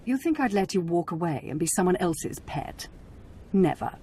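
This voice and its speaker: A woman's voice: fairly low pitch, big pitch swings, normal speed, warm tone, husky, exaggerated voice. An actress playing a scheming, possessive noble lady in a video game cutscene, talking in a cold, condescending way.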